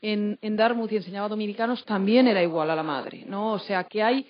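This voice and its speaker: A woman's voice: mid-range voice, fairly quick. A woman from Madrid with a Spanish accent from Spain, speaking as a teacher on a panel in a talkative, anecdotal way with frequent tag questions. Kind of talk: unscripted panel discussion. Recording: dull, lo-fi event recording.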